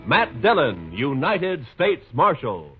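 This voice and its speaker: Male announcer, old radio: portentous, swooping delivery, tinny sound over loud music. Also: mid-range voice, huge pitch swings, slow. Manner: portentous, declaiming. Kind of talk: 1950s dramatic radio announcing.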